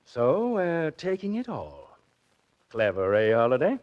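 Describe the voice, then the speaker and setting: Smug, scheming villain. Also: male voice, medium pitch, wildly swooping pitch, a little slow. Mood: smug, menacing. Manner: smooth, menacing. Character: smug, scheming murderous uncle, an embezzler. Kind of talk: 1940s radio drama acting. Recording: dull 1940s radio recording.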